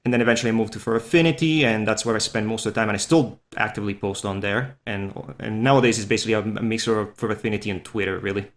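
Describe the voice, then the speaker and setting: A man's voice: lowish voice, fairly even intonation, rapid-fire, hardly any pauses, fairly bright tone. A man with a Swiss Italian accent, a non-native English speaker whose first language is Italian, chatting as a podcast guest in an easygoing, rambling, self-deprecating way. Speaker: podcast guest, furry artist. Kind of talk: casual interview chat.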